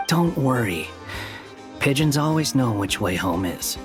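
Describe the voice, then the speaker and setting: An aloof young scholar for a video game, speaks in a dry, clipped way. His pitch is average for a man, and music plays clearly behind him. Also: sing-song, unhurried. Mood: cool, knowing. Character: aloof, sardonic young male wandering scholar.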